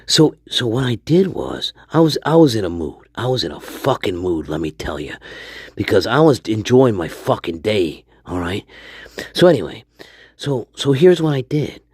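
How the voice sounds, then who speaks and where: Guy putting on a foul-mouthed rambling storyteller, husky and whispery, dead dry mic. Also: on the low side, very animated, normal speed, a little mellow, no room sound. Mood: conspiratorial. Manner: profane, rambling storyteller, often drops to a hoarse whisper. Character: crude, foul-mouthed man named Stanley telling a story. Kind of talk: improvised character monologue.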